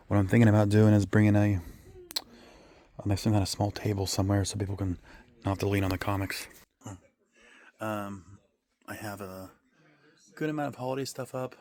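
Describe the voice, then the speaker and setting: A man with a hoarse, raspy voice, casually chatting through a reseller vlog, rambling with lots of ums and self-corrections. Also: fairly low pitch, fairly even intonation, normal speed, long pauses, mellow. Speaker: reseller vlogger. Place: his resale booth and home workspace.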